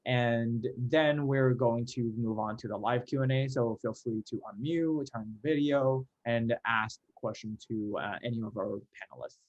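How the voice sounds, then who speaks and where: A man's voice: mid-range voice, normal speed, few pauses. A man in his twenties moderating an online Q&A panel over a video call, talking with many fillers and restarts. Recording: dull lo-fi call audio.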